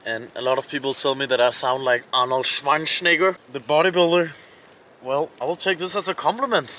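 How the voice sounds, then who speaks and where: A man with a Danish accent chatting casually to camera as an outdoor vlogger, in short, plain, halting phrases, recorded outside. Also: medium pitch, sharp tone, a little hiss or hum, low-quality recording. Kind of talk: casual chat narrating camping tasks to camera. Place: outdoors at a winter campsite.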